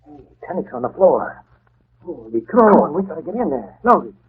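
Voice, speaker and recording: An actor playing an excitable, boastful would-be man of action with a raspy voice and folksy exclamations, in 1940s radio style. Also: fairly high pitch, brisk, full character voice. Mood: alarmed, excited. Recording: tinny, muffled 1940s radio recording.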